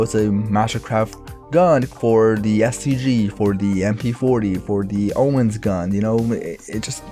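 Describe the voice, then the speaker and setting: A man with a raspy, recovering voice, giving casual gameplay commentary for his own video in a rambling, laid-back way with lots of fillers. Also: fairly low pitch, warm tone. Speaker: gaming YouTuber.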